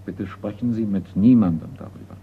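An actor playing a cautious, formal art appraiser in a radio crime drama, speaking in a measured way. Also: male voice, fairly low pitch, lightly acted. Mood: cautious, discreet. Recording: noisy, tinny old radio play.

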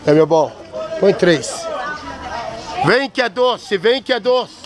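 A fairly high-voiced Brazilian man chants his fruit prices over and over in a market-stall patter. He keeps repeating short slogans to passing shoppers. Loud crowd chatter fills the open-air market around him. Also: lively intonation, slow. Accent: Brazilian Portuguese. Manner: chanting, repetitive sing-song hawking. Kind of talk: street market sales patter. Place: busy outdoor street market under awnings.

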